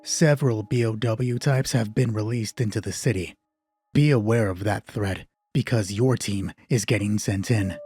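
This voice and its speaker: A man playing a stern, husky corporate commander. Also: on the low side, a little mellow. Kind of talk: video game style mission briefing.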